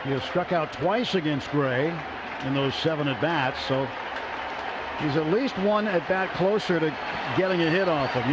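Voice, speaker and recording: An American man doing live radio play-by-play commentary of a baseball game. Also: high-pitched. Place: stadium broadcast booth. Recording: dull radio broadcast, loud stadium crowd, noisy. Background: loud crowd and stadium noise.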